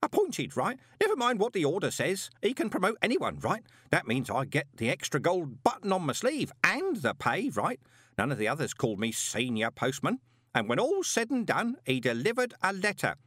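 Old postman, pitched high, muttering, aggrieved temper, swooping delivery, rambling, audiobook character. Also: huge pitch swings, normal speed, fairly bright tone, slightly rough, dry recording, studio quality, heavily acted. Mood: muttering, excited. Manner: muttering, rambling, uneducated colloquial speech, mock-solemn. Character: grumbling, anxious, superstitious old male junior postman.

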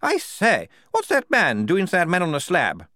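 A man voicing a blustering, hearty young army major for an audiobook, booming and jovial, tacking "eh?" onto his lines. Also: wildly swooping pitch, normal speed, pushed and bright, dry recording, clean studio sound, exaggerated voice. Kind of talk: audiobook character voice.

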